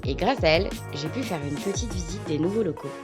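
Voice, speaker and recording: A woman's voice: fairly low pitch, expressive, fast talker. A woman narrating a travel show in a casual, personal voice-over. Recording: voice-over with music bed.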